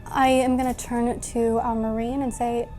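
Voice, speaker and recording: High-voiced woman playing a tabletop game. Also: little pitch movement, moderate pace, warm tone, soft background music. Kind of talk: casual in-game table talk.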